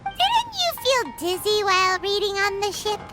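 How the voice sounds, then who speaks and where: A woman's voice: very high voice, very animated, normal speed, loud music behind the voice. A woman voicing a tiny, chirpy and nosy female fairy companion in a video game, who talks about herself in the third person. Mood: curious.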